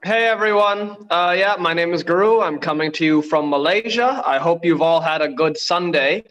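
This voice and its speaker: A man's voice: fairly high pitch, normal speed, fairly bright tone, poor audio. A man from Bangladesh doing conversational stand-up, hesitant and understated. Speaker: stand-up comedian.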